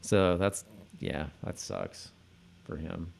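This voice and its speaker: A man co-hosting a podcast in casual chat, halting, often repeating words and trailing off. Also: sits low, big pitch swings, creaky. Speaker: podcast co-host.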